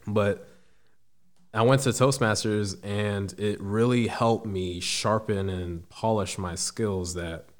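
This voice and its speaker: A man in his twenties with a deep voice, a podcast guest speaking thoughtfully with frequent restarts. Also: steady tone. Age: 20s. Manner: thoughtful, halting, restarts sentences. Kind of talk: casual interview.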